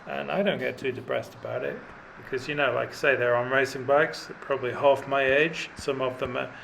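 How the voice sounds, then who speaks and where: Middle-aged man, dry self-mocking vlogger, gravelly tone, cycling outdoors. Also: medium pitch, steady tone, fairly quick, a bit bright, slight background noise, low-quality recording. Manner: dry self-deprecating humour, many fillers. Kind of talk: rambling self-deprecating commentary while riding. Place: outdoors on a mountain road.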